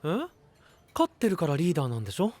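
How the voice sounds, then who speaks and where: An actor playing an easygoing, level-headed, gentle young male knight with casual, softly teasing speech in an anime-style voice drama. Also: a little high, very animated, fast. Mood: encouraging.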